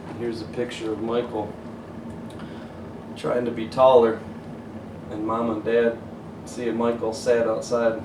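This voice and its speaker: A man reading a children's picture book aloud as a coach would, pausing to point out the pictures. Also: mid-range voice, monotone. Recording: roomy, distant mic, faint hiss.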